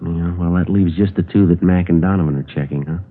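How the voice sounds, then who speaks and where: Deep-voiced American police sergeant, deadpan, methodical, clipped 1950s radio delivery, old narrow-band broadcast. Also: male voice, quick pace. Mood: businesslike. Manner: deadpan, matter-of-fact. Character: terse, methodical male police sergeant narrating his investigation. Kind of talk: 1950s radio drama, clipped scripted narration.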